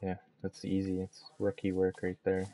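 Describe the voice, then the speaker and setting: A man streaming a game, chatting casually in a relaxed, rambling way over a headset. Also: deep voice, moderate pace. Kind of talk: casual gaming chat.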